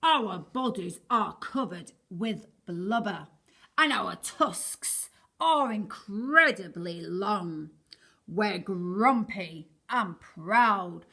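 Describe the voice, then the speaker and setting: A woman voicing a big, grumpy, proud walrus from a children's storybook, bellowing loudly with drawn-out words. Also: mid-range voice, full character voice.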